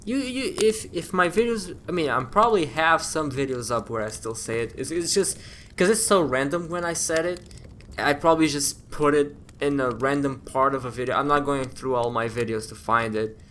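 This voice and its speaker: A man's voice: a little high, normal speed, a bit bright, slight background noise. A young man co-hosting a podcast in casual chat, often trailing off and restarting his sentences. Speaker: podcast co-host.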